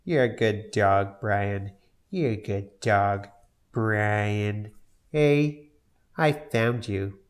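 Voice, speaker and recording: A man's voice: fairly low pitch, extremely slow. Man doing drunk cartoon dad, dopey, rambling, slightly raised voice. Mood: tipsy.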